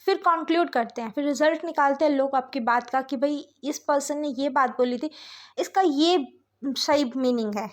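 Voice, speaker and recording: A woman's voice: high voice, moderate pace. A woman with an Indian accent giving an explanatory lecture as a teacher, mixing Hindi and English and often checking that listeners follow.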